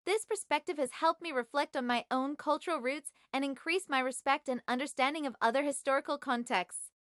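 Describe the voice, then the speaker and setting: A woman in her twenties from China, a native Chinese speaker, reading a scripted class presentation in a measured, even way. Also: high voice, no echo, studio quality. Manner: measured, evenly read. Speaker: graduate student.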